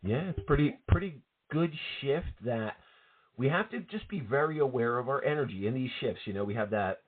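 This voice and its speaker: A man with an East Coast American accent, co-hosting a spiritual talk radio show in a calm, reflective way. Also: medium pitch, husky. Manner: calm, reflective, repeats words when thinking. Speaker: co-host, psychic medium. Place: at home. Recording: tinny phone-line sound.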